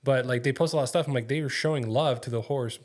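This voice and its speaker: A man hosting a podcast in a studio, his first language Spanish, speaking at an ordinary mid pitch. He talks casually and wanders through stories, full of fillers and restarts. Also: fast talker. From California, USA. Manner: chatty, rambling anecdotes with frequent fillers. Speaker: podcast host. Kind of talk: casual chat.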